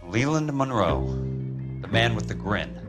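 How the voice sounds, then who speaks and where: A dogged American investigator for a period detective game. He is terse and wry, matter-of-fact in his questions, with a lively melodic range. Music plays loudly under him. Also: lowish voice, unhurried. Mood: musing, suspicious. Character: dogged, dry insurance fire investigator, adult man. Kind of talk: cinematic video game acting, period detective drama.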